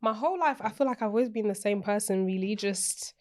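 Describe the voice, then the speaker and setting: A woman from Portugal, a guest co-founder, speaking in a thoughtful, measured way. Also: mid-range voice. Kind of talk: podcast interview.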